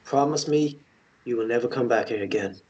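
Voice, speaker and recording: Man acting a husky, anguished lover. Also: medium pitch, brisk, darkish tone, lo-fi sound, close to an everyday voice. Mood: anguished pleading. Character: anguished man sending his beloved away, pleading tenderly. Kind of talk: acted screenplay table read.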